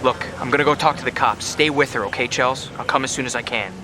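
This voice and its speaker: Man playing a bright-voiced earnest teenage boy. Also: medium pitch, fast talker, a little hiss or hum, close to an everyday voice. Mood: worried, low.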